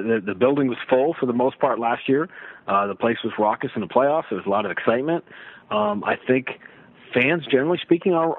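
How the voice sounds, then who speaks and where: A man talking sports casually as a broadcaster guest, telling stories and throwing out rhetorical questions in an animated way. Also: mid-range voice. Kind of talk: casual sports radio discussion. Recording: roomy, thin phone-like radio line.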